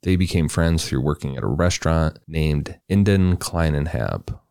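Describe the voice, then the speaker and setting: A man co-hosting a podcast, reading a true crime story in a relaxed, loose way with casual asides. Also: very deep voice, moderate pace, vocal fry, clear, resonant tone, no echo, studio quality. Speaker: podcast co-host.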